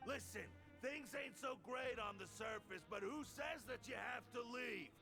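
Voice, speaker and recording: A man's voice: very high voice, expressive, a little slow, gravelly, music in the background, heavily acted. An actor voicing a salty, scrappy male convict in a video game, blustering indignantly with slangy insults.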